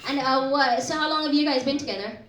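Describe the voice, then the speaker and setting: Brash comedy MC, woman, high animated voice, live roomy venue. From India. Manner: teasing, brash, quick banter with audience. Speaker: comedy MC and host. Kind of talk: stand-up crowd work. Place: small comedy pub venue. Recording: roomy live pub gig. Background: laughing crowd.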